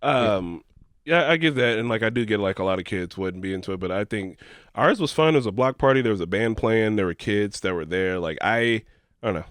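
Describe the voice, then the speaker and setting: A man's voice: lowish voice, lively intonation, a little fast, a bit bright, no echo, clean studio sound. A man co-hosting a podcast, explaining things emphatically in a casual, sweary group chat. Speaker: podcast co-host.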